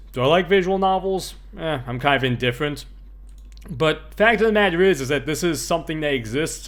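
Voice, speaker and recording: A man's voice: mid-range voice, a bit bright. A man giving casual, offhand let's play commentary on a video game, swearing now and then. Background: game music.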